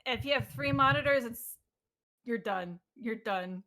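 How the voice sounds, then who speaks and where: A woman's voice: on the high side, a little slow, pushed and bright. A woman chatting casually with friends in a playful, bantering way. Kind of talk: casual group chat.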